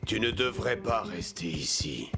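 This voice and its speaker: A man's voice: fairly low pitch, big pitch swings, a little slow, creaky, loud music behind the voice, heavily acted. A man voicing a stern old ghost horse in a children's cartoon, grave and commanding. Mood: stern warning. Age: older. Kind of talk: French cartoon dub acting.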